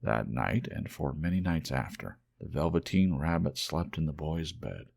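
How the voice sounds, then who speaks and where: A man narrating a children's storybook in an ASMR audiobook style, measured and expressive. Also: rumbling low, creaky.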